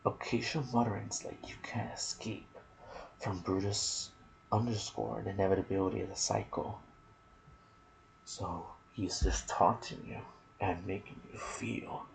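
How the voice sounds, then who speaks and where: A soft-spoken man hosting an ASMR gaming video, reading trivia with casual asides and sometimes dropping into a whisper. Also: fairly low pitch, flat delivery, normal speed, creaky, noisy background.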